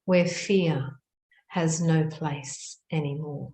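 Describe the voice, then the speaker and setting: In an echoing, roomy space, a woman leads a guided meditation. Her voice sits low and moves very slowly, in short hushed phrases separated by long pauses.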